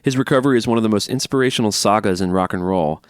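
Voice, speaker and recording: Fast-talking low-voiced podcast host. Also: male voice, clear, resonant tone, no echo, clean studio sound. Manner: quick, chatty, jumps in over the other host. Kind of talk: casual chat, reading listener emails.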